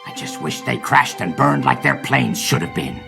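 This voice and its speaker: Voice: mid-range voice, animated, normal speed, gravelly, over music. An actor voicing a furious, scornful mad scientist in a cartoon comic dub, ranting with sneering sarcasm. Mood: bitter.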